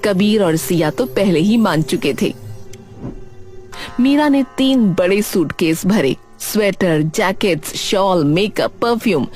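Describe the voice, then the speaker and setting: A woman reading expressive scripted narration for a cartoon story. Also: medium pitch, slow, soft background music.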